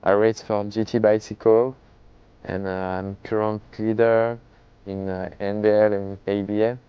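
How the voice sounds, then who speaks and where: A man, a pro racer introducing himself haltingly. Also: on the low side, level tone, clean tone, dry recording, muffled recording. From France.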